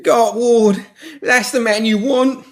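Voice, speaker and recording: A seedy pimp played by a male narrator pleads in a high, strained voice pushed well up from normal speech. He babbles breathlessly and loudly, desperate and in pain, like a cornered crook in an audiobook thriller. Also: fairly even intonation, slow. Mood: desperate, babbling.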